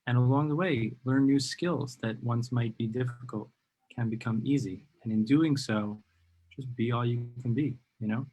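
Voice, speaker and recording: A man's voice: mid-range voice, normal speed, darkish tone, breathy edge, rough recording. A man hosting a live-stream interview, enthusiastic and rambling, with many ums and uhs. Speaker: host of a live video interview show.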